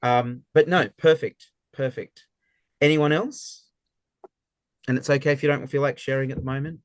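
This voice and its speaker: A man's voice: mid-range voice, steady tone, normal speed, no room sound, studio quality. A man leading an interactive group session on a video call, encouraging and affirming, often restarting his words. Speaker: workshop facilitator.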